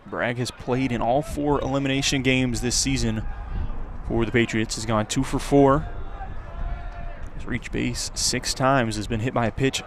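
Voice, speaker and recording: A man's voice: medium pitch, steady tone, measured pace. Male baseball announcer over outdoor ballpark noise. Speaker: play-by-play sports announcer. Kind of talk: live baseball radio commentary.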